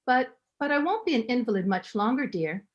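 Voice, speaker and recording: A woman playing a frail, devoted invalid wife, gentle and anxiously pleading, in 1930s radio drama style. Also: a little high, expressive, brisk, lo-fi sound, close to an everyday voice. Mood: wounded pleading.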